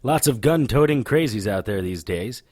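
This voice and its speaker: Sardonic occult investigator, swinging animated pitch, wry and taunting, audio drama, dry close sound. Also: male voice, moderate pace, slightly rough, no room sound. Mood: playful, showy. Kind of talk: audio drama acting with noir-style narration.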